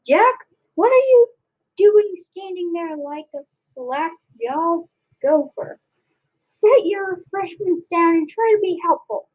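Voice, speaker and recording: A woman's voice: very high voice, animated, takes it slowly, muffled recording, pitched above the performer's own voice, exaggerated voice. A woman voicing a bossy old grandpa from a storybook, barking scolding orders.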